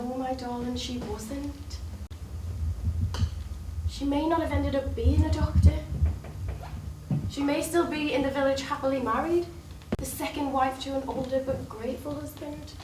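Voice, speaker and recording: A devoted, self-sacrificing woman in love, pleading in earnest, measured phrases. The voice is high and theatrical. The recording is distant and echoey, with clear background noise. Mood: gently firm. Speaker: stage actor. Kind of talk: theatrical stage drama dialogue. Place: theatre stage, distant microphone.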